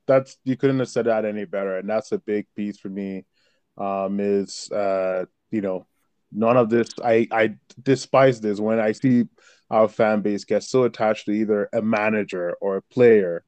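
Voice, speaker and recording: A man hosting a casual football chat, agreeable and quick with short backchannels. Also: on the low side, fairly even intonation, a little mellow, clear, resonant tone, dry recording.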